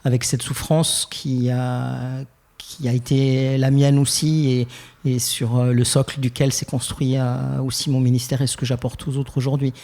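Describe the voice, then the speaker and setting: A young Swiss French man from Geneva, telling his life story as a podcast guest, thoughtful and hesitant with many pauses and restarts. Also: mid-range voice, level tone, gravelly, dark tone. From Geneva, Switzerland. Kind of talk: reflective personal interview.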